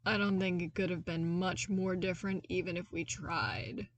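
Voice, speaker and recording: A woman's voice: lowish voice, steady tone, moderate pace, recorded from a distance. A woman voicing a blunt, wry young male trainee, grudgingly playful, in an audio story. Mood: wry, frank. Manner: blunt, dry, grumbling.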